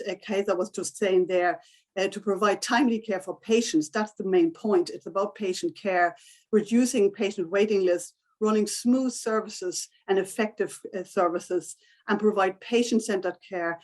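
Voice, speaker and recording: A woman whose first language is German, giving a conference presentation over a video call, explaining steadily with many fillers and restarts. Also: medium pitch. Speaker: invited speaker.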